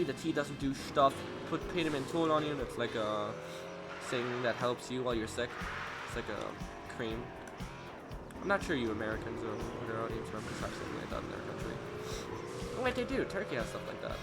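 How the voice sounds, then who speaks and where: A young man from Germany with a stuffed nose, rambling excitably through casual gameplay commentary. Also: medium pitch. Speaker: gaming video creator. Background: game music.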